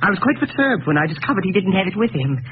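An actor playing a fussy, sneering, genteel murderer in a 1940s radio drama, speaking with precise, menacing politeness. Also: male voice, high voice, big pitch swings, very fast, slightly rough, full character voice. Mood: smug menace. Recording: tinny, noisy radio recording, distant.

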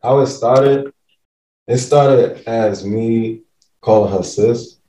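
A man's voice: mid-range voice, slow pace, dark tone, roomy sound, far from the mic, a little hiss or hum, poor audio. A man from the Bronx with a New York accent, an easygoing guest who laughs and talks in slang. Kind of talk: casual chat.

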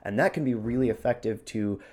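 A man's voice: medium pitch, a little fast, a little mellow. A man co-hosting a podcast, talking in an explanatory way about voice technique. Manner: demonstrates points with his own voice. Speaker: podcast co-host. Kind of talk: explanatory podcast talk on voice acting.

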